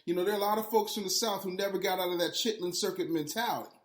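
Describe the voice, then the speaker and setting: High-voiced man hosting in an echoey room. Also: racing. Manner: hyped, slangy, effusive. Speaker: podcast host. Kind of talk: casual interview and ad read. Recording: roomy, echoey sound.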